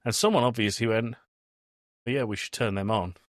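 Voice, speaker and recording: A man hosting a podcast, chatting casually about films in an animated storytelling way. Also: mid-range voice, moderate pace, no echo, clean studio sound. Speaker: podcast host. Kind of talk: casual film review chat.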